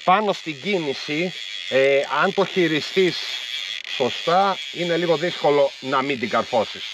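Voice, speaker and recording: A man in his thirties filming himself fishing from a small boat, explaining calmly to viewers what he is doing. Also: a little high, muffled recording. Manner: calm, explanatory, talks while fishing. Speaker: fishing vlogger. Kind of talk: casual on-boat commentary to viewers. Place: small inflatable boat on open sea, outdoors. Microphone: action camera mounted on boat. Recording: outdoor boat noise. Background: boat and water noise.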